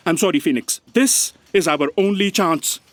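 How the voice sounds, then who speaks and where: A man playing a measured, apologetic, sensible crew aide in a comic sci-fi audio drama. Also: high-pitched, slight background noise, close to an everyday voice. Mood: earnest.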